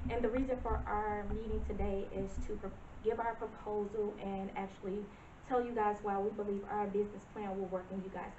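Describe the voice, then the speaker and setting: A woman in her thirties introduces herself and her plan to a village board. She speaks earnestly, with a few hesitations. The sound is distant and echoey, dulled by a camera mic with steady hiss. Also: mid-range voice. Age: 30s. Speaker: business presenter. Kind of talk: public comment at a meeting. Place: village board meeting room. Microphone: far camera. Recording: distant echoey lo-fi meeting video.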